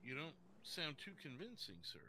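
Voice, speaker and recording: A man plays a police officer in an improvised game role-play. His voice is very bright and forceful, with lively swings in pitch, over faintly noisy voice chat. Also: moderate pace.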